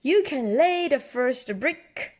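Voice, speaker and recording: A woman's voice: high voice, lively intonation, slow, pitched above the performer's own voice, exaggerated voice. A woman voicing a hearty male bull who works as a builder in a children's storybook, emphatic and matter-of-fact. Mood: hearty, businesslike.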